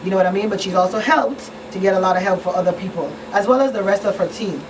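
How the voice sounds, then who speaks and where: A woman talks in a conversational way as the host of a talk show. Her pitch sits in the middle range. She sounds a bit far from the microphone, with clear noise behind her. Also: fast. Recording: distant mic, clearly noisy room.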